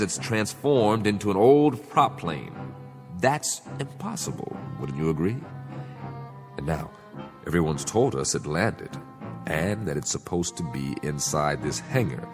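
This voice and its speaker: A man playing a self-assured aviation investigator in a radio drama, speaking deliberately and theatrically with probing pauses and rhetorical questions. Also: deep voice, heavily acted. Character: self-assured male aviation investigator chasing an uncanny theory. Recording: music behind the voice.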